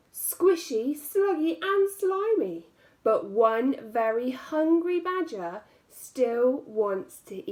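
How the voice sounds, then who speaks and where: A woman's voice: a little high, darkish tone. A woman reading a picture book aloud to young children as a teacher, expressively stretching key words and adding little asides to the listeners.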